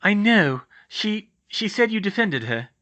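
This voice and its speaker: Young female storybook witch, earnest and urgent, raised pitch, sharp tone male narrator performing. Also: very animated, a little slow, muffled recording, heavily acted. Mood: earnest, subdued.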